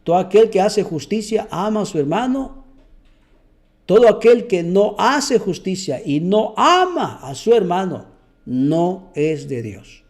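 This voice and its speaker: A man's voice: high-pitched, very animated, unhurried. A man giving an earnest testimony and Bible teaching as a preacher, often repeating words as he searches for them.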